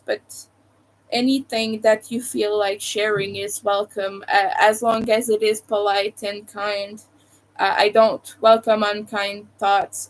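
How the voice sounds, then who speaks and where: Slow-talking woman with a Montreal accent. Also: mid-range voice, rough recording. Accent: Montreal Quebec. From Quebec, Canada. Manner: rambling, hesitant, many fillers. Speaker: live streamer. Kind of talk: casual live stream chat with viewers.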